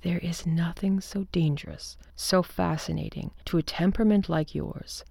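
A woman reading the lines of a calm, condescending husband who is a physician, soothing and dismissive in tone. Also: on the low side, normal speed, creaky, breathy edge, dry recording, clean studio sound. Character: calm, patronizing husband physician, adult man.

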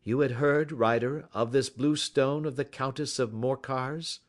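A man playing a shrewd, self-assured gentleman detective in an audiobook, speaking precisely with suave, gentle mockery. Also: medium pitch, steady tone, measured pace, pitched above the performer's own voice, natural voice. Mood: assured, accusing.

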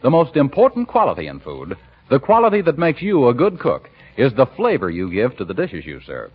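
An American man announces in 1940s radio style. His delivery is polished and sales-minded, with lively swings of pitch. The voice has a husky edge and comes through a dull, aged recording. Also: a little fast.

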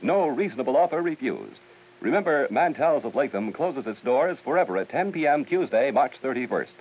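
A man who works as a local station announcer, reading a 1950s radio commercial as an emphatic sales pitch. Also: very animated, a little fast, slightly rough. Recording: tinny, hissy 1950s radio recording.

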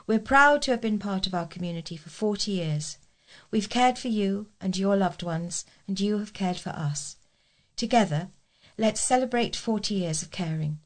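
A woman's voice: mid-range voice, animated, normal speed. A woman reading scripted local news as a volunteer newsreader.

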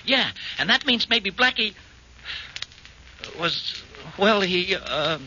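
An actor playing an eager, bumbling police sidekick to an inspector in a 1940s radio crime drama. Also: male voice, sits high, lively intonation, slow, bright, forceful tone, exaggerated voice. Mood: sheepish, hesitant. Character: eager, slow-witted male police sidekick. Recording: dull old radio recording, faint noise.

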